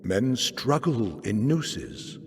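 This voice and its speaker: A stern man declaiming riddles in a video game. His voice is husky and creaky, with deliberate theatrical phrasing. It rings in a long hall reverb. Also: mid-range voice, big pitch swings, a little mellow, exaggerated voice. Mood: stern, cryptic. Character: stern, cryptic man passing judgment in riddles.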